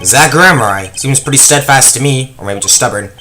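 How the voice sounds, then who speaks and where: A man's voice: medium pitch, normal speed, sharp tone, natural voice. A man playing a sardonic, questioning defense lawyer in a video game fandub, with music behind. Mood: curious, dry. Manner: questioning, dry asides. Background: prominent music.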